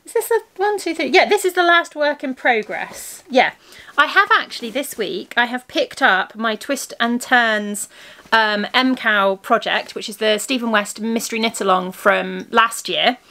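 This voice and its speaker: A woman's voice: a little high, unhurried, bright, forceful tone. A woman who is losing her voice hosts a crafting podcast and chats casually while showing her knitting projects to the camera. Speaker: crafting podcast host and yarn shop owner. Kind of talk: casual video podcast chat.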